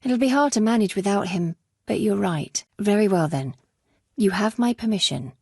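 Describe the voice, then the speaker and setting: An actress playing a grieving, wary middle-aged widow who runs a medieval stud farm, speaking in an earnest, plain-spoken way in video game dialogue. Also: female voice, mid-range voice, animated, normal speed, a little mellow, slightly rough, no echo, studio quality. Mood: concerned, weighing.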